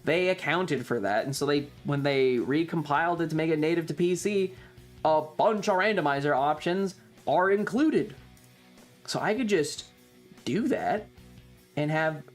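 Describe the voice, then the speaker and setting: A streamer talks casually at a middling pitch over background music. The delivery rambles along with wry, jokey asides and some mild crudeness, as in a relaxed livestream chat.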